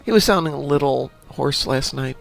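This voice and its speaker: Chatty guy hosting a morning stream, raspy voice, rambling stories. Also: medium pitch, normal speed, faint music behind. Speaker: stream host. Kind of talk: casual chat.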